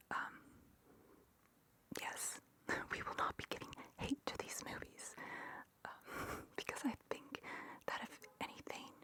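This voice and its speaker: Whispering woman in enthusiastic ASMR ramble. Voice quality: soft breathy unvoiced whisper. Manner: informal, opinionated, enthusiastic geeking out. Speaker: ASMR video host.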